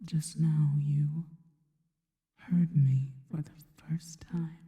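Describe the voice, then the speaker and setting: A woman playing a seductive, sinister spider-woman in an ASMR roleplay, whispering breathily and slowly with intimate, formal, adoring deliberation. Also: low-pitched, fairly even intonation, warm tone. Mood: seductive, hungry. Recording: long reverberant echo.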